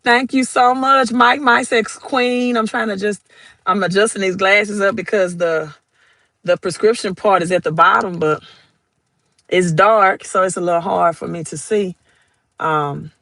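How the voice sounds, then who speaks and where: A woman's voice: fairly low pitch, a bit bright, no echo. A woman with a Southern accent hosting a casual crypto livestream from her phone, chatting, greeting viewers by name and reading their comments aloud. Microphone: phone held vertically.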